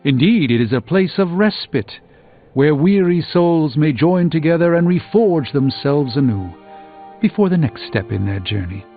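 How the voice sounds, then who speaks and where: An actor playing a learned, reassuring sorcerer in a video game, speaking in a formal, measured, philosophical way. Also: male voice, on the high side, animated. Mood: solemn. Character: grave, learned, reassuring adult male sorcerer. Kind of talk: scripted video game character dialogue. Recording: tinny band-limited audio with music.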